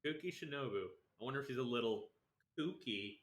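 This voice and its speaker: A young man comments casually on a game as he streams. His mid-pitched voice is bright and forceful, with loose, sometimes sweary phrasing and clear room echo. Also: expressive, a little fast. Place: indoor room. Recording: echoey room.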